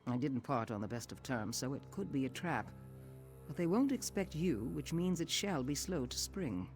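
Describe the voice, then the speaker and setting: An actor playing a proud, sardonic exiled noble turned veteran thief in a video game, speaking in a dry, formal, haughty manner. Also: male voice, mid-range voice, sing-song, moderate pace, darkish tone, soft background music. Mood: uneasy, guarded.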